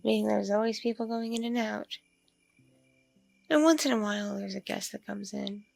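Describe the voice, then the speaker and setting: A woman playing a mature, flirtatious, spacey female vampire in an ASMR roleplay, speaking softly and hesitantly, as if in a one-sided intimate conversation. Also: mid-range voice, fairly even intonation, vocal fry, no room sound, soft background music. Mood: relaxed, self-deprecating. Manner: hesitant, murmuring, lingering pauses with ums and mms. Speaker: roleplay voice performer.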